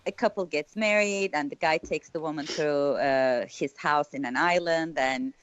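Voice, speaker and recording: A woman's voice: low voice, normal speed, a bit bright, smooth, no room sound, lo-fi sound. A young woman from Turkey with a Turkish accent, chatting as a filmmaker guest in a casual interview and filling her talk with "like.". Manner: chatty, lots of "like" fillers.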